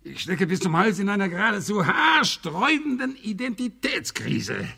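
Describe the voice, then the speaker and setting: Gravelly, pompous old revolutionary. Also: male voice, sits high, sing-song, moderate pace, pushed and bright, full character voice. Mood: anguished. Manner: declamatory, pompous, lecturing in slogans. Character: pedantic old communist revolutionary, fervent and rambling. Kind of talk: theatrical German radio play acting.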